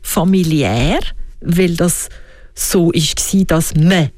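A woman's voice: lowish voice, slow, darkish tone, no echo, studio quality. A woman with a Swiss German accent talking in a reflective interview, often asking herself questions aloud. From Switzerland. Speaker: guest. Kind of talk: reflective conversation.

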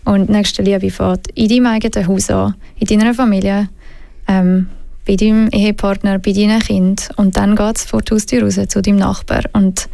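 A woman's voice: mid-range voice, flat delivery, normal speed, gravelly, warm tone, no echo. A woman with a Swiss German accent, speaking earnestly about faith, hesitant with many fillers. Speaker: panel guest. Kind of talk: faith discussion.

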